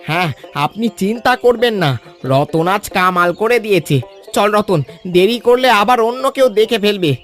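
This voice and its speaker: A man playing a loyal, protective village uncle in an animated children's story, blunt and aggrieved in manner. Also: high voice, unhurried, faint music behind. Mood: urging.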